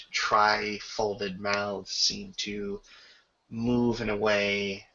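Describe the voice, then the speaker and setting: A man narrating a tabletop roleplaying game as game master, casual and descriptive, with a gravelly, husky voice. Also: on the low side, pushed and bright. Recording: muffled lo-fi voice recording.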